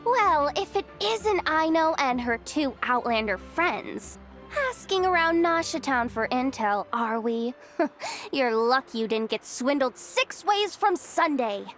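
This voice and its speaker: High-voiced, cocky bounty hunter. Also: female voice, lively intonation, a bit bright, faint music behind. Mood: teasing. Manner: swaggering, drawn-out teasing. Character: cocky, teasing female bounty hunter, brash and boastful. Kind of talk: video game voice acting.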